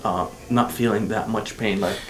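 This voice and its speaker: A man's voice: lowish voice, steady tone, moderate pace, a bit bright. A middle-aged man from Egypt, a patient, answering questions in a clinic room in earnest, brief replies with some hesitation. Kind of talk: interview answers. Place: dental clinic treatment room. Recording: echoey clinic room, faint hiss.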